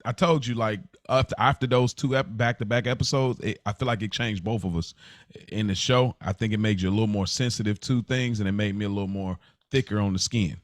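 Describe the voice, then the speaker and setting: A man's voice: lowish voice, steady tone, brisk, dry recording. A man co-hosting a podcast in casual conversation, explaining his thoughts at length in a reflective way. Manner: reflective, explains at length with "like" and "you know what I mean". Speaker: podcast co-host.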